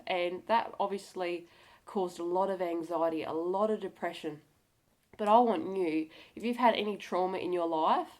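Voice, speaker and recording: A woman with a slightly nasal, cold-affected voice talking candidly to viewers in an earnest, direct and encouraging way. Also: lowish voice. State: has a cold. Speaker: video blogger. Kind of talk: candid personal talk to camera.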